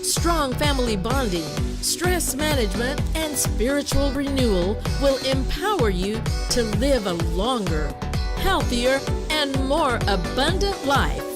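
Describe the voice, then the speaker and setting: Lady announcer, super slow and high, loud music under her. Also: lively intonation. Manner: slow, measured delivery. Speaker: show announcer. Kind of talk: scripted announcer intro.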